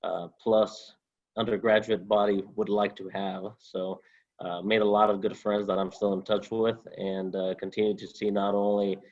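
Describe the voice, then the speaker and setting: Man, 20s, from Honduras, flat delivery, halting with fillers, muffled call sound. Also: fairly low pitch. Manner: halting, many uh fillers, steady. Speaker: alumnus guest. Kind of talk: interview answers.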